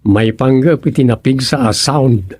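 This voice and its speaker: A man's voice: mid-range voice, a little slow, darkish tone, breathy edge, dry recording, clean studio sound. A man with an Ilocano accent playing a grumbling villager who frets over his neighbours in a radio comedy drama.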